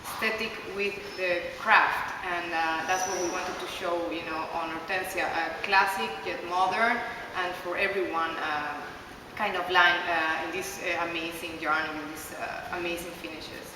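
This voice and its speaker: A woman from Peru, a business owner giving casual, somewhat halting interview answers about her fashion line. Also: lowish voice, steady tone. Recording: echoey, noisy hall from far off.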